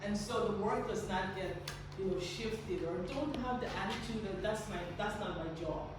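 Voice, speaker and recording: A woman's voice: medium pitch, moderate pace. Earnest woman in an echoey hall. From Guyana. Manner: earnest, encouraging, with frequent restarts. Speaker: staff trainer. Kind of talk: workplace training talk with faith themes. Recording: very echoey, distant, noisy room recording.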